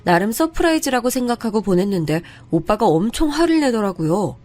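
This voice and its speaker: A woman's voice: medium pitch, very animated, brisk, darkish tone, faint music behind. A woman in her thirties narrating a first-person story in a confiding, conversational way.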